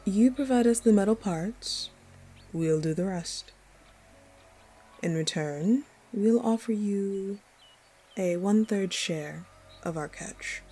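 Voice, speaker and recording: Woman as a husky, commanding lamia woman. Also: medium pitch, warm tone, soft background music. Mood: persuasive. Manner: measured, authoritative, fond toward her human. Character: commanding, protective lamia woman, dominant yet sweet. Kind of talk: fantasy audio roleplay.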